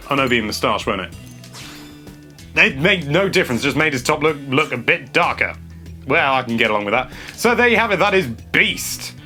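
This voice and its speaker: Young games presenter, rambling and self-mocking, bright tone. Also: male voice, mid-range voice. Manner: chatty, self-mocking, thinking aloud. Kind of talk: casual gameplay commentary.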